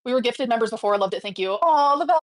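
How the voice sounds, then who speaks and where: Fast-talking, sassy woman livestreamer. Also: fairly high pitch, expressive, no echo, studio quality. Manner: gossipy, sassy, breaks off mid-thought. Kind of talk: casual livestream chat, answering viewer messages.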